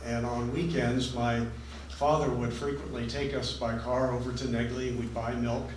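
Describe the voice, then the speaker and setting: A man's voice: mid-range voice, level tone, normal speed. A man from western Pennsylvania leading a seminar discussion, telling anecdotes in an affable way. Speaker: seminar speaker. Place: seminar room. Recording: echoey room from far off, some noise.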